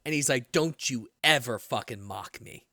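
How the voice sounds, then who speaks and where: A man in his late thirties with a US Northeastern accent, hosting a podcast, blunt and animated, swearing freely as he tells stories. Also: mid-range voice, normal speed, no room sound, studio quality. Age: 30s. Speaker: podcast host. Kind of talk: casual interview talk.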